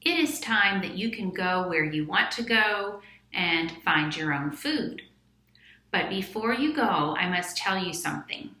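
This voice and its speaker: Woman as a gentle mother mouse, unhurried, with a hushed, warning tone and a bright voice. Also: medium pitch, reverberant.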